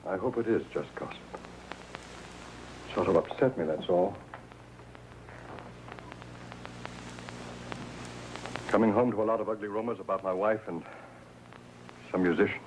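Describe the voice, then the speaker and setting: Man acting low, formal, troubled gentleman, noisy recording. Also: natural voice. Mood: troubled, hesitant. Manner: formal, hesitant, measured. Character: polite, troubled wealthy male employer and husband. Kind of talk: 1950s crime drama acting. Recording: noisy old 1950s TV drama soundtrack.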